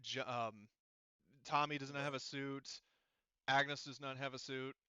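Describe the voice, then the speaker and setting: A man's voice: medium pitch, fairly even intonation, unhurried, sharp tone, clean tone, dry recording, highs cut off. A man running a tabletop roleplaying game as game master, talking casually and thinking aloud as he narrates and explains rules.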